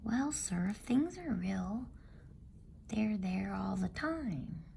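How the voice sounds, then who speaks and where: Storybook schoolboy played by a female reader: respectful, doubting, soft and breathy, mellow, slightly creaky, unhurried. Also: mid-range voice, expressive, slight background noise, voice pushed up, full character voice. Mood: puzzled, doubting. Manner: questioning, respectful. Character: polite, puzzled, earnest schoolboy, older brother.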